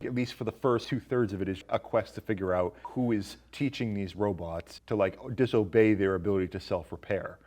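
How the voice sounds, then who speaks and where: A man's voice: fairly low pitch, a little fast, hardly any pauses, a little mellow. A man hosting a casual film discussion, conversational and jokey, often posing questions. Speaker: podcast host.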